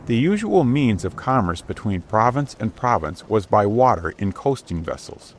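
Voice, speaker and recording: A man with a fairly low voice narrates a storybook as an audiobook. The reading is scripted and dramatic. Outdoor ship ambience is clearly heard behind the voice. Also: sing-song, moderate pace, a little hiss or hum.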